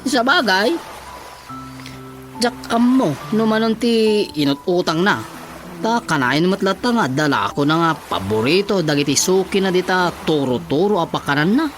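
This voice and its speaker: A man playing a sly, giggly debtor in a radio comedy, with drawn-out phrase endings. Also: high-pitched, expressive, soft background music. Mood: explaining. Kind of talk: radio comedy drama acting.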